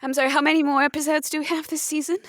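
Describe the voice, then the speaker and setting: A woman with a high voice plays along in an improvised comedy roleplay. The recording is close and very dry. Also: little pitch movement, racing, fairly bright tone, no echo. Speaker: player in a roleplay podcast.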